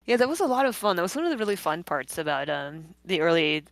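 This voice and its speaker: A woman's voice: lowish voice, very animated, fast, vocal fry, dry recording. A woman giving rambling, self-deprecating, giggly commentary on her own creative work in a casual live chat. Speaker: comic creator giving behind-the-scenes commentary.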